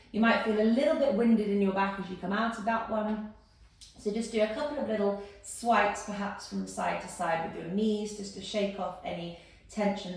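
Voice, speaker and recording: Woman, fitness instructor, calm cueing, slight fry, recorded off-mic in a very echoey room. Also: mid-range voice, recorded from a distance. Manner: calm step-by-step cueing, counting down. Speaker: posture instructor. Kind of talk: guided exercise instruction.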